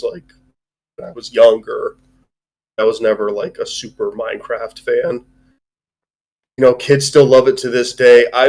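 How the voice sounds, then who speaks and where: A man talking casually as a host reviewing a film, rambling and halting, with a loose, sweary way of speaking. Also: medium pitch. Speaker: movie review show host. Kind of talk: casual chat, film discussion.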